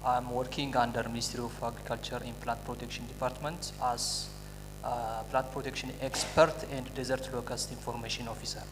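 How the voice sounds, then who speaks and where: A man from Ethiopia who works as a plant protection expert gives a steady, formal self-introduction. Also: medium pitch, fairly quick, background noise. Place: conference hall. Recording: reverberant hall with music.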